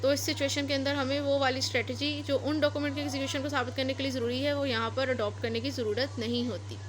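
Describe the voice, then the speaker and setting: A woman's voice: high voice, fairly even intonation, quick pace, slight background noise. A woman with a Pakistani accent giving an explanatory law lecture, repeating and rephrasing points step by step. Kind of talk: explanatory lecture mixing read-out English legal text with Urdu explanation.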